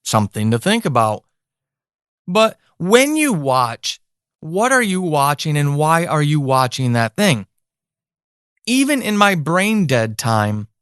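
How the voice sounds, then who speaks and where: A man's voice: fairly high pitch, a bit bright, clear, resonant tone, dry recording, studio quality. An American man hosting a podcast in a candid, informal conversational way, speaking directly to listeners. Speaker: podcast host and writer. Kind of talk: conversational podcast monologue about writing. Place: indoor office, dry close recording.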